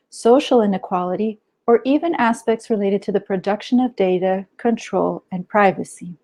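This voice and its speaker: A woman in her thirties, a doctoral student, giving a steady, formal reading of an academic talk. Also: medium pitch. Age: 30s. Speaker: anthropology PhD student. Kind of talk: read academic conference talk.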